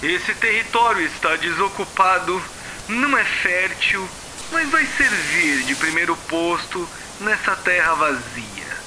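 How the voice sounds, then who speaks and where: A man's voice: sits high, expressive, slow pace, over loud music, rough recording. A man with a Brazilian accent playing a cold, condescending corporate commander in an audio drama, heard as an intercepted radio recording. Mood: cold, calculating. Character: cold, haughty male corporate commander, calculating and menacing. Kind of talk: audio drama villain acting.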